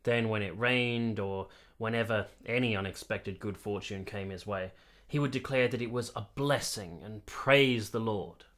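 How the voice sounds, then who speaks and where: A man's voice: on the low side, normal speed, hardly any pauses, fairly bright tone, natural voice. An actor playing a sober, disillusioned military man of the 1870s in a radio drama, reflective and formal in his wording. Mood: reflective, bitter. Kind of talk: period radio drama acting and narration.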